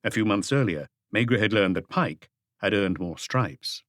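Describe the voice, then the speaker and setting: A man narrates a detective novel in a scripted audiobook style. His pitch moves in a lively way as he reads. The recording is very dry, with no room around the voice. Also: moderate pace, no echo.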